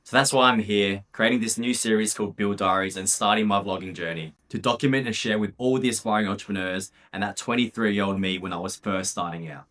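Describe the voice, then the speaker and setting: A man's voice: on the low side, quick pace, hardly any pauses, fairly bright tone. A young man from Australia narrating his own business vlog in a candid, upbeat, casual way, with the odd swear word. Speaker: vlogger and business owner.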